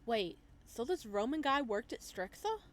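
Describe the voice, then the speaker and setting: A woman's voice: a little high, sing-song, normal speed, pitched above the performer's own voice. A woman voicing a frustrated, anxious young man who questions everything, in a visual novel read-aloud. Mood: wary, curious.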